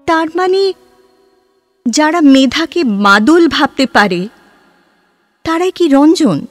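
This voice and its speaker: A woman playing a dreamy, playful, free-spirited young heroine in a literary audio drama, lyrical and full of dramatic pauses. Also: high voice, sing-song, faint music behind, exaggerated voice. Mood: assured, musing. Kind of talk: Bengali audio drama dialogue.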